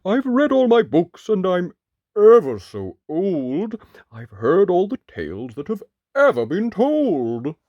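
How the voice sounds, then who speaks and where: A storybook narrator voicing an old giant who talks in a halting, sheepish, drawn-out way. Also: mid-range voice, wildly swooping pitch, darkish tone, no room sound, dull audio, voice pushed up. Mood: hesitant, weary. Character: slow old giant, hesitant, a bit sheepish.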